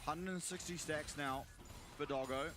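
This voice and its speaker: A man giving brisk, steady play-by-play commentary on an esports match. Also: a little high, faint music behind. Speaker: play-by-play caster.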